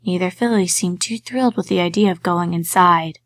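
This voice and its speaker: A woman reading a dark fan story aloud in a measured way, dropping to a hush on eerie lines. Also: fairly low pitch, no room sound, studio quality. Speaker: reader.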